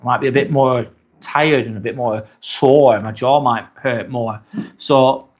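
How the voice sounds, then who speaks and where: A man in his forties with a Lancashire accent, hosting a casual live stream, chatting in a relaxed, rambling way with plenty of ums. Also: mid-range voice, unhurried. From northwest England. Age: 40s. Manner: relaxed, rambling, reads chat comments aloud with many fillers. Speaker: livestream host.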